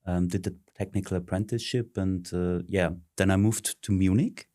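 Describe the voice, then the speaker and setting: Deep-voiced man, halting speech. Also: fairly even intonation, mellow. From Switzerland. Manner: halting, many ums and uhs. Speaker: interview guest, search consultant. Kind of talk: conversational interview.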